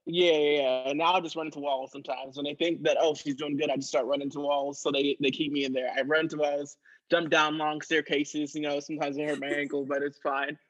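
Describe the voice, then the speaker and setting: A man playing a jaded, blunt woman who is a longtime asylum inmate, deadpan, in an improvised comedy scene. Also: on the high side, fast, muffled recording, close to an everyday voice.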